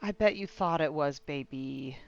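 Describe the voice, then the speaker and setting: A woman's voice: low voice, normal speed, gravelly, highs cut off, soft background music. A woman streaming a video game with casual, slangy, sweary reaction commentary.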